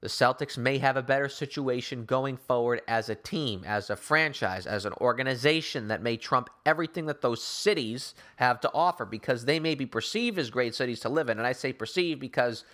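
A man's voice: medium pitch, a little fast, hardly any pauses, sharp tone. A man hosting a sports talk show, delivering opinionated basketball commentary in an emphatic, rambling way with sarcastic asides.

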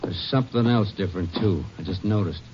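An actor playing a plain-spoken rural grain buyer with a blunt, clipped manner in a 1950s western radio drama. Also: male voice, lowish voice, a little fast, natural voice. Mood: sure, blunt. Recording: muffled old radio, faint hiss.

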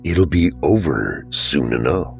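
A man voicing a mysterious invisible being, terse, slow, calm and cryptic. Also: very deep voice, vocal fry, soft background music, voice pushed down, heavily acted.

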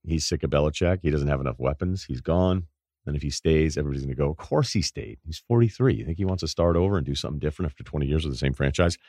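A man with a deep voice hosting a podcast, telling rambling stories in casual chat and laughing at his own anecdotes. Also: very fast, darkish tone, no room sound, clean studio sound. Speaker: podcast host.

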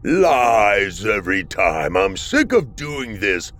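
A man voicing a slimy, sagging lighthouse dweller who talks gruffly and slowly in a deep, guttural voice. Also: sing-song, a bit bright, exaggerated voice. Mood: hostile.

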